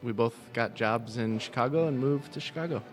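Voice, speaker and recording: American male guitarist, medium pitch, informal podcast guest delivery with some joking. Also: a little fast, faint music behind. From United States, California and Florida. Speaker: band guitarist being interviewed. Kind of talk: casual, joking chat.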